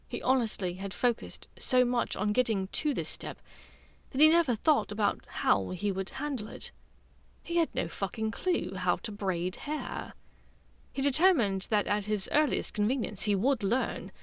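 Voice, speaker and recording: A woman's voice: mid-range voice, no echo. A woman reading scripted fiction aloud as a story narrator. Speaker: podfic narrator.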